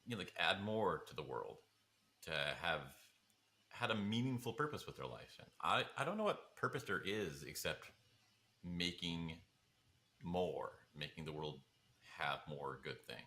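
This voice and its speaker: A man's voice: sits low, big pitch swings, measured pace, pushed and bright. A man talking casually as a podcast co-host, in an informal conversational style. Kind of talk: casual chat.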